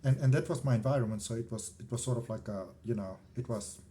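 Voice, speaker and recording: Mellow-voiced middle-aged South African man. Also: medium pitch, fast talker, a little hiss or hum. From South Africa, countryside. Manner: discursive, trailing off into asides and repeated fillers. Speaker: spiritual vlogger and energy healer. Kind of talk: casual rambling personal talk to camera.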